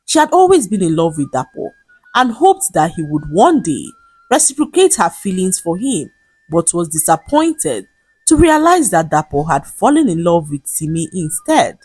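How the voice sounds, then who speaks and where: A woman storyteller narrates a folktale in a lowish, slightly husky voice. Her intonation rises and falls with plenty of movement, and the delivery is measured and expressive. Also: no echo, poor audio. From Nigeria. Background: background music.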